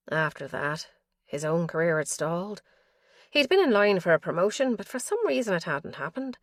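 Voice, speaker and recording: A woman narrating a mystery thriller audiobook in a measured, tense storytelling manner. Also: mid-range voice, no room sound, studio quality.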